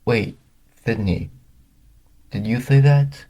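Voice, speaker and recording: A man voicing an excitable young male talking pony who knows his world, in a cartoon fan story. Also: medium pitch, takes it slowly, voice pushed up. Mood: surprised.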